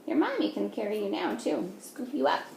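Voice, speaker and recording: A woman teacher reading a picture book aloud to young children, patiently, in a sing-song way, pausing to ask them simple questions. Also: mid-range voice, big pitch swings, creaky, darkish tone. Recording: echoey room with faint noise.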